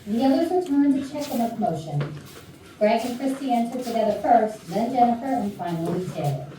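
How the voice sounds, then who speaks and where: A woman's voice: fairly high pitch, animated, fairly quick, warm tone. A woman reading scripted stage directions as narrator of a staged play. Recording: very echoey rehearsal room, distant, faint noise.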